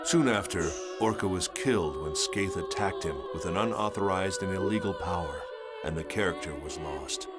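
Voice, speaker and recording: An actor playing a sober engineer recounting his journal in measured, grave narration for a video game. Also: low-pitched, over loud music, close to an everyday voice. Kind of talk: scripted dramatic narration.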